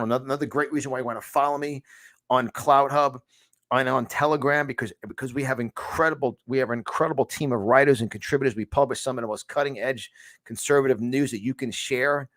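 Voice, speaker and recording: A man's voice: mid-range voice, no room sound. Emphatic New York man preaching. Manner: emphatic, repetitive, stumbling restarts, preacherly. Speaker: host of a conservative news channel. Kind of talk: motivational monologue.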